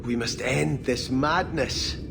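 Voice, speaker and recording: An actor playing an earnest, courtly exiled prince in a video game, with a formal, courteous manner. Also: male voice, medium pitch, takes it slowly, creaky, background noise. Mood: alarmed.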